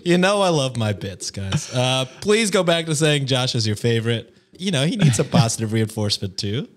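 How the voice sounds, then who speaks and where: Male podcast co-host, mid pitch, joking and casual, reads stories. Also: very animated, normal speed. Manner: jokey, teasing, playful. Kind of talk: casual chat.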